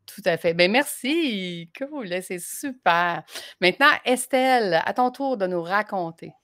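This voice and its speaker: A woman's voice: mid-range voice, lively intonation, measured pace, fairly bright tone. A woman hosting a casual podcast chat, encouraging her guests and handing them the floor. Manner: encouraging, passes the turn to guests. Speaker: podcast host.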